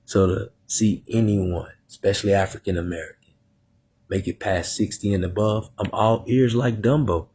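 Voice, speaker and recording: A man, hosting a live podcast interview in an animated way and laughing often. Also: lowish voice, moderate pace, slightly rough. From Plainview, Texas. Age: 30s. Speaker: podcast host.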